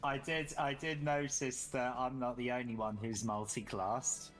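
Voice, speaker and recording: A player in a tabletop game who speaks in a giggly, animated way. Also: mid-range voice, unhurried, loud music behind the voice.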